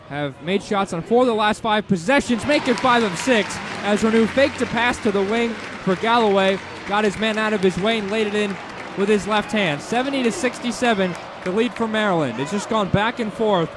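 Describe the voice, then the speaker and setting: High-pitched American man calling basketball over loud crowd. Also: moderate pace. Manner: animated, excitable sportscaster delivery. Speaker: radio play-by-play announcer. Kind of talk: live basketball play-by-play commentary. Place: indoor basketball arena broadcast position. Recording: loud arena crowd noise behind voice.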